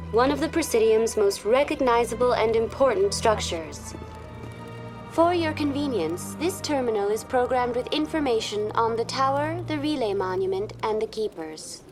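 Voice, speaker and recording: A woman voices a courteous female computer guide in a sci-fi game. Her voice sits fairly high and delivers facts in a formal, measured way. Soft music plays underneath. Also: close to an everyday voice. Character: polite, informative female virtual tour guide terminal. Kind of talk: scripted informational narration.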